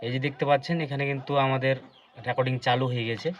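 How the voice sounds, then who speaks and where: A man's voice: mid-range voice, flat delivery, very fast. A man presenting an unscripted step-by-step phone tutorial, explaining things repetitively and addressing viewers directly. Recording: dull lo-fi audio with faint background noise.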